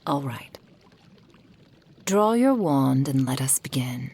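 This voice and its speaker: A woman's voice: very deep voice, sing-song, takes it slowly, dark tone, heavily acted. A woman voicing a possessive kitsune witch mentor in a roleplay ASMR, speaking in formal, old-fashioned phrases. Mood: soft, coaxing. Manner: breathy whispering, formal archaic phrasing.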